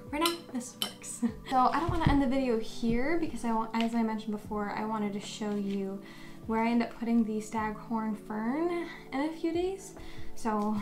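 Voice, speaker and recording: A woman's voice: fairly high pitch, reverberant. A young woman in her twenties chatting casually about her houseplants, gushing and laughing often, recorded at home. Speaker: plant vlogger. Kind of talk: casual vlog chat. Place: bedroom with houseplants, indoors. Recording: background music under voice.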